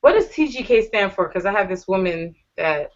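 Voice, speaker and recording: A woman's voice: medium pitch, big pitch swings, normal speed. A woman hosting a casual online panel show, gushing and flirty as she thanks her guests. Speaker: talk show host. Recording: dull lo-fi audio.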